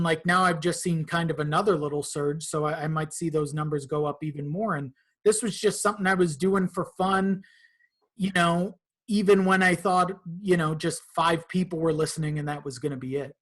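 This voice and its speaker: A man's voice: on the high side, steady tone, brisk, a bit bright. A man talking casually as a podcast guest, informal and conversational, with some swearing. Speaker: podcast guest, content creator.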